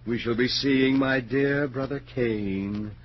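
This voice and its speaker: A sinister old gentleman collector played by a male actor speaks with suave, pompous relish and sly menace. It is 1940s radio drama, muffled and clearly noisy. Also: mid-range voice, takes it slowly, close to an everyday voice. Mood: quietly menacing. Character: sinister, genteel old collector of murder relics, gloating and sly.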